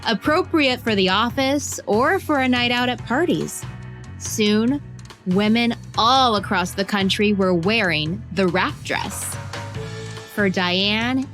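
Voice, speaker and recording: A woman narrates a scripted story with deliberate emphasis and dramatic pauses. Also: mid-range voice, a bit bright. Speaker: narrator and host of a children's biography podcast. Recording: music bed behind the voice.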